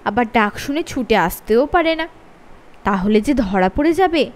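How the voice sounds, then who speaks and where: A woman reading a funny children's story aloud as host of her own channel, with expressive, sing-song emphasis. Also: sits high.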